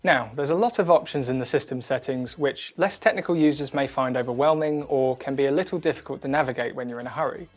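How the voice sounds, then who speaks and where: A man presenting a scripted product demo in a clear, methodical, instructional manner. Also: medium pitch, fast talker, few pauses. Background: background music.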